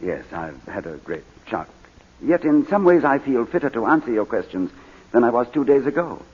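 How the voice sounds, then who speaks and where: A haunted British war veteran on the witness stand. His husky voice stammers and swings widely in pitch as he grows overwrought. The sound is a dull, lo-fi 1940s radio drama recording. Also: very animated, normal speed. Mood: defensive.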